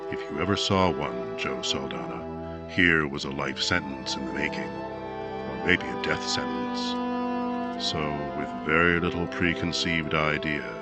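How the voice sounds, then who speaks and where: A man playing a grave, brooding narrator of a 1950s true-crime radio drama, speaking in a dramatic, deliberate way. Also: a bass voice, over loud music.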